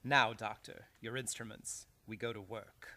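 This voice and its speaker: An actor playing a cold-blooded murderous villain in an old-time radio play, speaking with slow menace. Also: male voice, on the low side, fairly even intonation, bright, forceful tone, heavily acted. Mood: coldly menacing. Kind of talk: live old-time radio drama acting.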